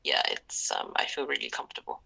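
A young woman from Zimbabwe, talking reflectively as a podcast guest, with many fillers and often trailing into breathy near-whispers. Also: a little fast, bright, forceful tone, poor audio. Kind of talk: casual interview conversation.

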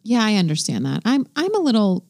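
Lively, warm-toned woman co-hosting a podcast. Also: medium pitch, brisk, no room sound, studio quality. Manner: brief reactions, laughs easily. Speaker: podcast co-host. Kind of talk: casual chat.

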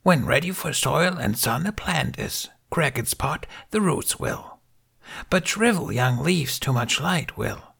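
A man voicing a tiny, ancient, serene alien master who speaks in inverted, riddling sentences with a high, half-whispered voice. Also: expressive, measured pace, gravelly, husky, exaggerated voice. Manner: inverted word order, gentle and riddling. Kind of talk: audiobook character voice.

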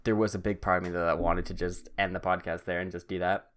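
A man's voice: sits low, little pitch movement, fast, fairly bright tone. A young man hosting his own podcast, talking in a rambling, self-mocking way and often wandering off topic. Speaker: podcast host. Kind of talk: casual solo podcast monologue. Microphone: podcast microphone.

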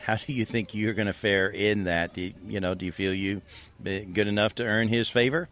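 A low-pitched man leads a street interview as an evangelist, choosing his words with care. His probing questions come at a steady pace, broken now and then by an "uh". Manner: measured, probing questions with occasional "uh". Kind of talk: street interview with scripted intro.

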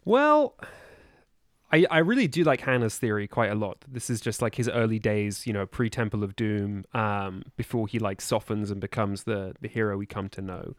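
A man's voice: lowish voice, moderate pace, dry recording, clean studio sound. A man speaking as a podcast guest in casual chat, good-humoured and rambling, often hesitating as he works through a thought.

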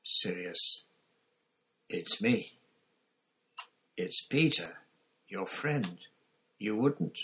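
A man's voice: animated, drawn-out words, pauses often, a bit bright, full character voice, poor audio. A man voicing a terrified, grovelling man who whines and pleads for his life in a storybook reading. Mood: pleading. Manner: whining, pleading, squeaky.

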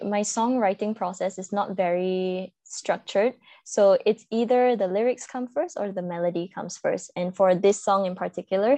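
A woman with a Malaysian accent speaks as an interview guest, explaining things reflectively and informally. Her voice is mellow and relaxed at a middle pitch, and the sound is very dry and close. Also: dry recording. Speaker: singer-songwriter guest. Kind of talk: conversational interview answers.

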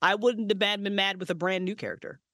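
A woman's voice: mid-range voice, steady tone, quick pace, a bit bright, no room sound, studio quality. Passionate, swearing woman podcast guest. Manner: passionate, swears often, ranting. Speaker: podcast guest, writer and voice actress. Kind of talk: casual interview chat.

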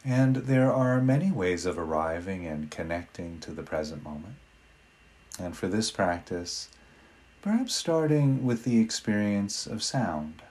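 Slow, low-voiced man guiding meditation. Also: animated. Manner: gentle, unhurried, with long pauses. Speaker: meditation guide. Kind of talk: guided meditation.

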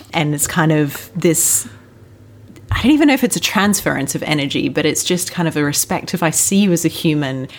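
A woman hosting a podcast, introducing and interviewing a guest in an enthusiastic, conversational way. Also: low voice. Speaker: podcast host.

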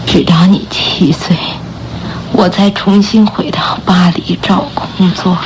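An actress playing a gentle, sorrowful bedridden mother in a radio drama, breathy, weak and halting. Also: lowish voice, fairly even intonation, noisy background. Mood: wistful, sorrowful. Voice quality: breathy, often whispered. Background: background music.

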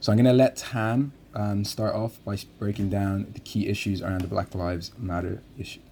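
A young man co-hosting a podcast, setting out the topics in a measured way with many "um" fillers. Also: fairly low pitch, level tone, creaky, dark tone, a little hiss or hum. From Ireland. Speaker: podcast co-host. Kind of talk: podcast introduction and moderation.

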